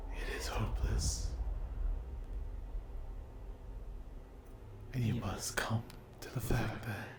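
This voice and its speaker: Casting: male actor for a sinister, hungry sleep demon in ASMR roleplay; extremely slow, taunting delivery, echoing sound. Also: fairly low pitch. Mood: cold, possessive. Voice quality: breathy, whispery. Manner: slow, whispered, taunting endearments. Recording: echoey reverberant voice.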